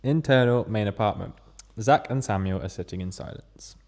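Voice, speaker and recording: A man's voice: lowish voice, normal speed, darkish tone, slight background noise. A man reading stage directions as scripted narration at a table read.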